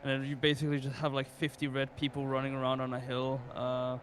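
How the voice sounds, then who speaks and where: A man talking in an offhand, flat monotone during casual game chat, dropping swear words freely. His pitch sits in the middle with little movement. Faint noise hums behind him. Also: quick pace. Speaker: fellow player.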